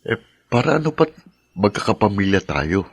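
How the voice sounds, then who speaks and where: A man with a Filipino accent playing a kind, modest big brother in a radio drama, husky and easygoing. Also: very animated, moderate pace, full character voice. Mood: easygoing, admiring. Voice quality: low, husky.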